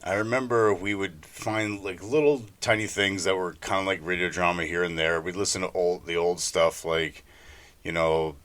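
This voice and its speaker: Man, low voice, bright forceful tone, podcast co-host, casual anecdotal chat. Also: steady tone, normal speed.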